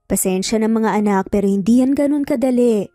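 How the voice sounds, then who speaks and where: A woman playing an earnest, guarded mother in a story, speaking calmly and sincerely. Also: a little high, dry recording, studio quality, faint music behind, natural voice. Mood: gently firm. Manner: calm, measured, sincere. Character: earnest, guarded mother, gently firm with her children. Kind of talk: dramatized storytelling.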